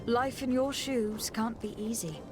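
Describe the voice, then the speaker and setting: A woman's voice: on the high side, steady tone, measured pace, close to an everyday voice. An actress playing a poised, commanding noblewoman diplomat in a video game, speaking formally and articulately. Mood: shrewd, persuasive. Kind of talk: scripted video game dialogue. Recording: music behind the voice.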